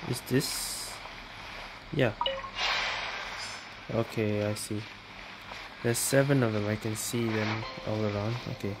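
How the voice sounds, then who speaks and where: A woman's voice: rumbling low, steady tone, slow pace, long pauses, heavy background noise. A woman giving casual gameplay commentary as a game streamer. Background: loud game music.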